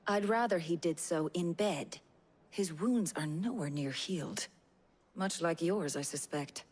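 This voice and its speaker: An actress playing a stern, dryly sardonic healer in a fantasy video game. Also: female voice, fairly low pitch, darkish tone. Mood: stern, concerned. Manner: sardonic, brisk, disapproving. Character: dry, stern woman healer in a fantasy hideaway. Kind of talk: cinematic video game acting.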